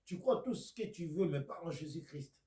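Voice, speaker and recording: A man from Congo preaching a video sermon, a speaker of Lingala and Kikongo who swings from loud declaiming to near-whispers. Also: on the high side, lively intonation, normal speed, echoey room.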